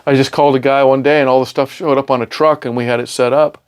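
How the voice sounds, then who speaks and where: A man's voice: mid-range voice, level tone, fast talker, clear, resonant tone. A middle-aged man with a British accent, a studio engineer chatting informally as an interview guest, peppering his stories with "man" and "you know". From born in Milan, grew up in England and Scotland. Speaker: podcast guest, recording engineer and studio owner. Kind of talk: casual interview storytelling. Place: recording studio.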